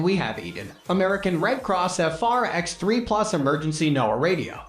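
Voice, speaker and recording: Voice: mid-range voice, roomy sound. A narrator of unclear sex reading a scripted product review in a formal way, listing features and specifications. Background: music.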